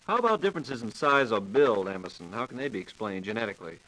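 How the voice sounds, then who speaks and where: A man's voice: on the low side, expressive, fast, fairly bright tone, poor audio. An American man acting as interviewer in a scripted 1940s radio dialogue, prompting an expert with questions. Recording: old 1940s radio broadcast recording.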